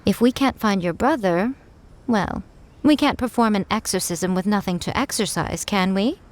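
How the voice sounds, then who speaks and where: Game shrine priestess, played by a woman: adult, mid-pitched, sly and nonchalant, teasing with polished condescension. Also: normal speed, natural voice. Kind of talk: video game voice acting.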